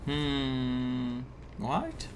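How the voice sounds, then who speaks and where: A man's voice: mid-range voice, big pitch swings, very slow, a little hiss or hum. A man streaming a game, commentating casually in a gushing, reactive way. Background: game music.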